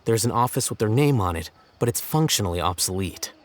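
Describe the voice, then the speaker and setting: An actor voicing a stern, deadpan young man with a measured, dry delivery in a video game. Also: lowish voice, natural voice.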